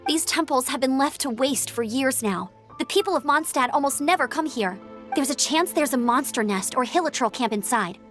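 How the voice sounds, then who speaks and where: A woman playing an energetic, earnest young knight scout in a video game, eager and talkative. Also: high voice, moderate pace, faint music behind. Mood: serious.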